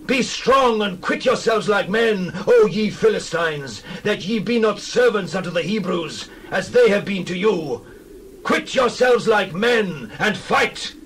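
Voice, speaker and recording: A war commander rallying troops, high and slow with a declaiming ring, as a male reader puts him on. A faint hum sits under the voice. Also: lively intonation. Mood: rousing.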